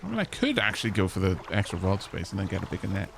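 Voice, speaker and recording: A man's voice: low voice, huge pitch swings, racing, creaky, a bit bright, a little hiss or hum. Wry man streaming a game. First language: Welsh. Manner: chatty, wry, talks to chat. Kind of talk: casual gameplay commentary.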